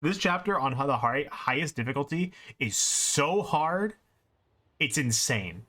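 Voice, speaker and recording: A man's voice: a little high, lively intonation, unhurried, pushed and bright. A man giving casual, exclamatory commentary while he plays a video game on a livestream. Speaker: speedrunner streamer playing and commenting.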